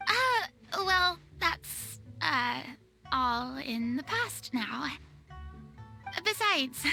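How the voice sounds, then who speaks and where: A woman's voice: high-pitched, creaky, pushed and bright, full character voice. A woman playing a flustered, theatrical young former leader in a video game, polite and eager, with a stammering, dramatic delivery. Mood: wistful, evasive. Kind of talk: video game character acting.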